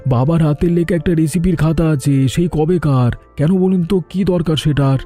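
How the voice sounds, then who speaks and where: A very mellow, muted voice sits a little high for a man, with music underneath. A male actor plays a middle-aged village shopkeeper in a cartoon, humble and anxious, pleading his troubles with plain earnestness. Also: moderate pace, natural voice. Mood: surprised, curious. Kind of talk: cartoon drama dialogue.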